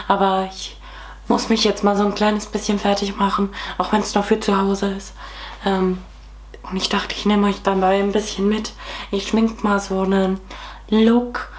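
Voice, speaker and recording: Young woman, twenties, hoarse with a cold, casual beauty vlogger, faint room hiss behind. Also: mid-range voice. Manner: chatty, many fillers, talks while applying makeup. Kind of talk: casual makeup tutorial chat. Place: small room at home, indoors.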